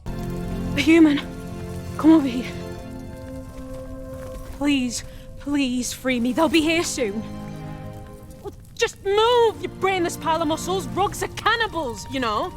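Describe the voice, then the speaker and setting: A mouthy captive child from a fantasy game. The voice is very high, bossy and exclamatory, full of insolence, with loud music underneath. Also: female voice, lively intonation, takes it slowly, pauses often. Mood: pleading. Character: brash, bossy, sharp-tongued captive child.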